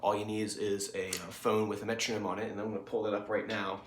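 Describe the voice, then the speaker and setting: A congested man, low-voiced and stuffed up from a cold, rambles through a casual guitar lesson. He fills his explanations with "like" and "um" as he talks straight to one student. The room around him rings with echo, and there is a faint hiss behind him. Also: little pitch movement, fast talker. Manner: rambling, filler-heavy explanations. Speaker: guitar teacher. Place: home music studio.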